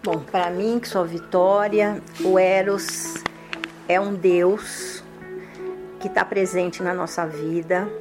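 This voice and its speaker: A woman in her seventies speaking slowly and deliberately as a guest in a group radio talk. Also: fairly low pitch, steady tone, over music. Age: 70s. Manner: slow, deliberate.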